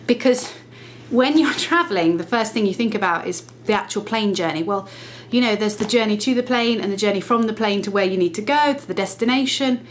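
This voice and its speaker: Woman vlogger, mid-pitched, conversational, slightly nasal with a cold, faint background hiss. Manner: chatty, self-correcting, brisk explaining. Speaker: lifestyle vlogger. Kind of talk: casual talk to camera.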